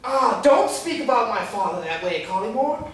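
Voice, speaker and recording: Woman as nervous upper-class man in love, hesitant and slow-spoken, noisy echoing stage recording. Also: on the high side, very animated. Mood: flustered, pleading. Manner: hesitant, stammering, flustered. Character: nervous, stammering upper-class Englishman, guilty younger brother in love. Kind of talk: staged reading of a period murder mystery. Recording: echoey room, distant mic, noisy.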